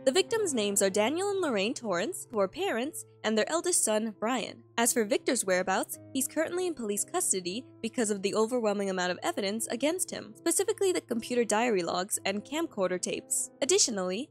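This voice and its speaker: Woman, high voice, scripted recap narration, casual slangy asides, background music. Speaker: host of horror analysis videos. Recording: music bed under the voice. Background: piano and eerie background music.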